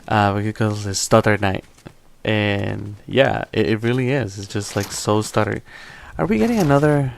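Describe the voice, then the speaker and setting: Streamer, male, lowish voice, slight accent, loose rambling live commentary, faint game sound behind. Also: moderate pace, vocal fry, a little hiss or hum. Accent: non-native English accent. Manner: relaxed, rambling with frequent restarts. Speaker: tech streamer.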